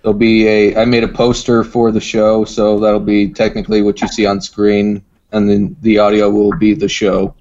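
Male podcast guest, slightly low pitch, casual delivery, dull recording. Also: completely flat delivery, normal speed, hardly any pauses, a little mellow. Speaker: podcast guest, radio drama creator. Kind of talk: casual chat.

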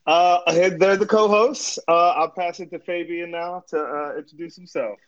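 A man's voice: high voice, normal speed. A man from Virginia Beach hosting a podcast in casual chat, laid-back and teasing. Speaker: podcast host. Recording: dull lo-fi sound.

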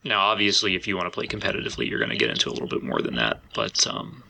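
A man's voice: low voice, very animated, rapid-fire, gravelly, bright, forceful tone, slightly rough. A man hosting a podcast, talking casually and feeling out his phrasing as he goes. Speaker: podcast host.

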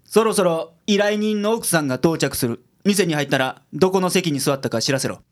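A gruff detective boss acted by a man with a Kansai accent and a notably high voice for a male. He gives blunt orders in radio drama style. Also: lively intonation, fairly quick, lightly acted. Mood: brisk, commanding. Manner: blunt, commanding.

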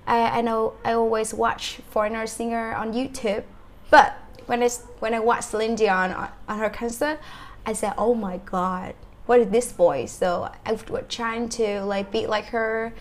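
A young Vietnamese woman answering interview questions in halting English with a Vietnamese accent and many fillers. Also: fairly high pitch, steady tone. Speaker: guest, English teacher.

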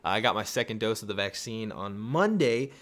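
A tired young man with a raspy voice, hosting a casual sports podcast and rambling through excited rhetorical questions. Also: lowish voice, fairly quick. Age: young adult. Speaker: podcast host.

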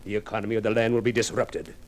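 An actor playing a grandiose, menacing desert warlord in an old-time radio drama, speaking in formal, measured pronouncements. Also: male voice, fairly low pitch, little pitch movement, natural voice. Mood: grandiose, commanding.

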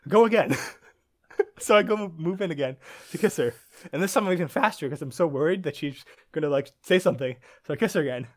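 A man's voice: on the high side, animated, quick pace, darkish tone. A young man with an American accent, a podcast guest telling a story in casual chat and laughing often as he goes.

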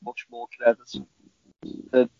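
A man in casual, halting podcast chat about TV, rambling as a co-host. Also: dry recording. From Liverpool, England. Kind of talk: casual chat reviewing TV shows. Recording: slightly dull, lo-fi audio.

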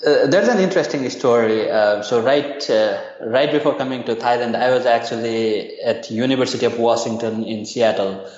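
A man's voice: mid-range voice, moderate pace, few pauses, a little mellow, echoey room, dull audio. A man from Nepal, a university lecturer, answering interview questions hesitantly with many fillers.

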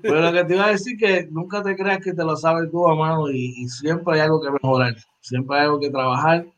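Lively man in his forties, muffled call. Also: on the high side, a little fast. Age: 40s. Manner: animated, repeats phrases, slangy. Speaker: podcast co-host. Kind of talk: casual sports chat.

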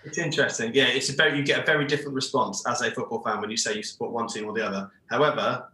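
A man in his forties from England talks over a video call, rambling and restarting his sentences. His voice is bright and forceful, and it comes through with a roomy echo. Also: mid-range voice, fairly even intonation, very fast. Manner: rambling, hesitant, many restarts. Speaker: shirt designer, guest. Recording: echoey room over internet call.

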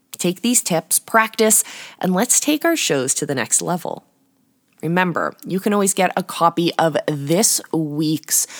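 A woman hosting a podcast, giving instructional tips in a deliberate, emphatic way with pauses. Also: fairly low pitch. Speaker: podcast host.

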